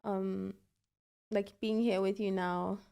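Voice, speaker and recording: A woman from Ghana, a musician guest in a casual interview, thoughtful and measured. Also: medium pitch, little pitch movement, creaky, mellow.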